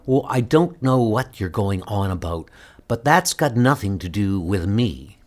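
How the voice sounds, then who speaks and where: A man's voice: fairly low pitch, lively intonation, measured pace. A man voicing a guarded, hard woman, a former bodyguard in hiding, curt and threatening, in a comic book dub. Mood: defensive, wary.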